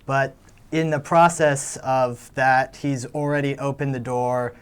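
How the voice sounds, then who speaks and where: Young man, smooth clear voice, medium pitch, student actor, casual interview talk. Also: level tone, measured pace. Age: teens to young adult. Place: TV studio.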